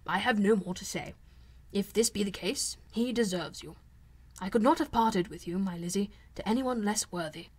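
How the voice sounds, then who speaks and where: A wry older gentleman father from a classic novel audiobook, played by a woman. The delivery is measured, dry and formal, with a fond, advising temper. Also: mid-range voice, slightly rough, lightly acted. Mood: fond, resigned. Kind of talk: audiobook character voice.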